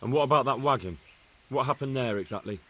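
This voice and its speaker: A man's voice: medium pitch, fast, a bit bright, close to an everyday voice. A young, dutiful male squire, played by a man, with a mid-range voice. He asks plain, direct questions in a game cutscene. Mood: questioning. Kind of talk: video game cutscene acting.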